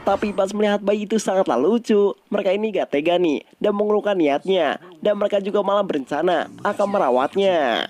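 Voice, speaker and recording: A man's voice: high voice, brisk, a little mellow, some chatter behind. A man with an Indonesian accent narrating a story recap in an informal, scripted style.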